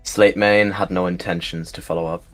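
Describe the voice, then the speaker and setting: A man's voice: low-pitched, steady tone, normal speed, faint music behind. A man narrating his character's actions in a descriptive roleplay game.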